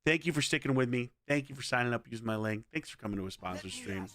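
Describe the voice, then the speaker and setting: A man with a hoarse voice, chatting casually and energetically on a game livestream and pleading with viewers. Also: medium pitch, expressive, quick pace, fairly bright tone, no room sound. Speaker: game streamer.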